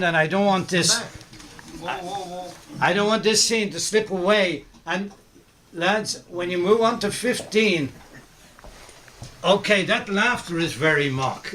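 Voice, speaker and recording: A man's voice: high voice, fairly bright tone, a little hiss or hum. A man directing actors in a recording session, bluntly giving short instructions. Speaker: radio drama director.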